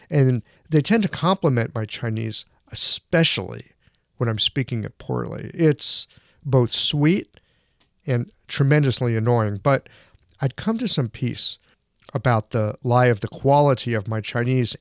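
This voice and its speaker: A man hosts a podcast and tells a personal story in a measured, scripted narration with a wry edge. His voice sits at an ordinary middle pitch. It is recorded close and dry, with no room sound. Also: no echo. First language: English. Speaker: podcast host.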